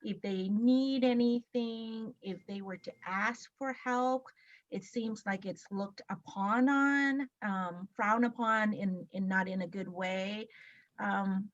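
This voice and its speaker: A woman from Laos speaking on a webinar panel, pausing often with ums and restarting her sentences. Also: mid-range voice, dull audio. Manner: hesitant, many ums and restarts. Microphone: video call.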